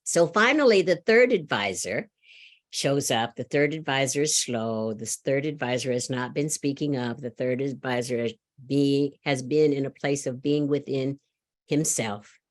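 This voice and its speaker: A middle-aged woman giving a sermon, loud and animated, laughing often and telling a story. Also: extremely low pitch, measured pace. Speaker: speaker at a church service.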